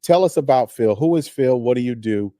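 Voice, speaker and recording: A man hosting a real estate radio show, talking in an energetic, effusive way as he hypes up his guest. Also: mid-range voice, a little fast, no echo, studio quality. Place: radio studio.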